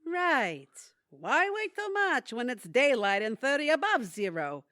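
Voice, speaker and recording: A woman acts out a wry older New Yorker in a radio-play comedy. Her voice is on the high side and swings up and down as she grumbles with sardonic bite. It is recorded dry. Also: measured pace, dry recording, exaggerated voice. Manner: sardonic, deadpan complaining. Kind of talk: audio theatre comedy acting.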